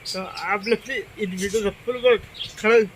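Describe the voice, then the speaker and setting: A man hosting a casual devotional vlog with a slightly sore throat. He speaks haltingly, with many restarts and repeated phrases. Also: sits high, fairly even intonation, fairly bright tone, a little hiss or hum. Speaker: channel host.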